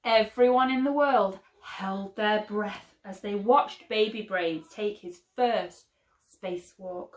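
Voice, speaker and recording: A woman teacher reading a picture book aloud for children, slow and expressive. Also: mid-range voice. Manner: slow, expressive, lively storytelling. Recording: roomy echo.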